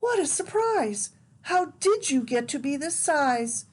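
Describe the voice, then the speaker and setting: A woman's voice: sing-song, a little slow. Woman doing a scared little boy. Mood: bewildered. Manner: high, wavering, fearful. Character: small frightened boy lost in dark woods.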